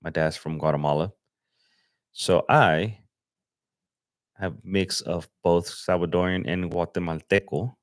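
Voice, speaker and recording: A young man from Los Angeles chatting casually on a livestream as he edits photos, relaxed and slangy, thinking aloud with fillers. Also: low-pitched, a little slow, long pauses, dry recording, studio quality. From Los Angeles, USA. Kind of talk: casual livestream chat while editing. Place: home desk setup.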